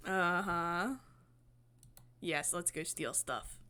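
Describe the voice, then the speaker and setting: A woman's voice: fairly low pitch, drawn-out words, bright, forceful tone, smooth. A woman streaming a visual novel, reading the narration aloud in a casual way with her own commentary. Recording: game music behind the voice. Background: guitar game music.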